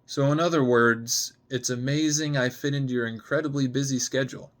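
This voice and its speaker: A man's voice: mid-range voice, steady tone, fairly quick, fairly bright tone, lightly acted. A man voicing a hungover, sarcastic, guarded young woman in a dramatic script reading, blunt and foul-mouthed. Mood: sardonic, guarded. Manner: sardonic, blunt, swearing.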